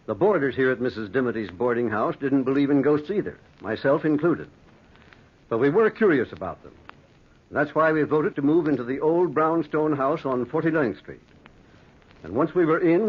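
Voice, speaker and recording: An actor playing a composed, skeptical doctor in a radio drama, measured and wry. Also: male voice, mid-range voice, slightly rough. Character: skeptical, composed doctor narrating a ghost story. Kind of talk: old-time radio drama narration and acting. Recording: dull, lo-fi old radio recording.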